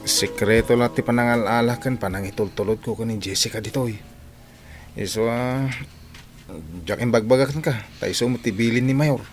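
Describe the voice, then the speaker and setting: An actor playing a secretive, matter-of-fact go-between in a radio drama, with a Filipino accent. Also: male voice, medium pitch, steady tone, moderate pace, faint music behind, lightly acted. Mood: confiding. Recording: faint noise behind voice.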